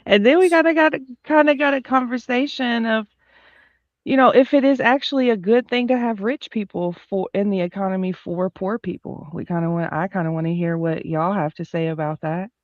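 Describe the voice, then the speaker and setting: A woman's voice: mid-range voice, fairly quick, no room sound. A woman hosts a casual podcast and runs through the week's topics in a chatty way. Her audio sounds dull and lo-fi, with a dry, close feel. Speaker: podcast host. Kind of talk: casual chat.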